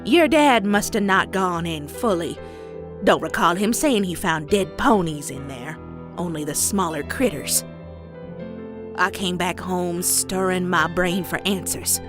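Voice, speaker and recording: Slow-drawling Southern granny, stern and bitter. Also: female voice, lowish voice, animated. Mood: grave, confessional. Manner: folksy, slow, deliberate storytelling. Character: stern, bitter old farm grandmother pony with dark secret. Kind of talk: dramatic character acting in comic dub. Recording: dramatic music behind.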